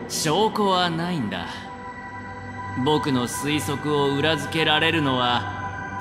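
Give the game a suave young male gambler, theatrical and secretly vulnerable. His pitch swings freely over slow, deliberate phrasing. The voice rings in a long reverb. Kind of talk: scripted anime game voice acting. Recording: long reverb under music. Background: background music.

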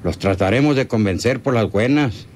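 A man's voice: fairly low pitch, lively intonation, moderate pace, darkish tone, lightly acted. A man playing a scheming, greedy country henchman in a radio serial, talking in a coaxing, rustic, colloquial way. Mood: cold, scheming. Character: scheming rural male bandit henchman, persuasive and greedy. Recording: noisy old radio serial recording.

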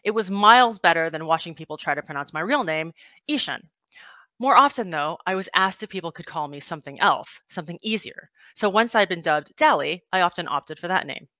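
A woman in her forties from Taiwan, reading her own personal essay aloud on a video call through a headset mic, with sudden lifts in pitch. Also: lively intonation, brisk, pushed and bright, no echo. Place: home room with bookshelves, indoors.

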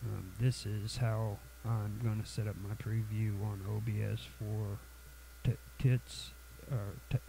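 A man with a cold hosting a casual software tutorial, halting and full of fillers and restarts. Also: on the low side, level tone, gravelly, slight background noise, poor audio. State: has a cold, sniffly. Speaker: tutorial channel host.

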